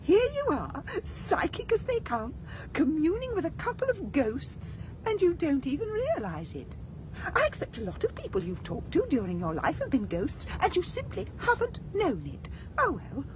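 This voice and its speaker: Radio drama role: breezy, effusive woman ghost, blithe about death, very animated pitch, noisy rumble behind. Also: moderate pace, heavily acted. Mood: breezy, amused. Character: chatty, breezy woman ghost, delighted with the afterlife. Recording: clear rumbling background noise.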